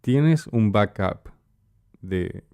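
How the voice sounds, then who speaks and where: Dark-voiced Ecuadorian man hosting. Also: fairly low pitch, animated, very slow, clean tone, no echo. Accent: Ecuadorian Spanish. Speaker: interviewer, host. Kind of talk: casual conversational interview.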